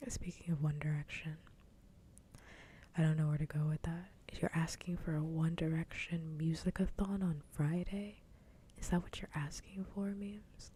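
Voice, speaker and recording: A woman livestream host chatting casually and ramblingly with viewers in a soft, breathy, half-whispered ASMR voice, close to the microphone. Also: low-pitched, fairly even intonation, moderate pace, slight background noise. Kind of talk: soft-spoken ASMR chat stream.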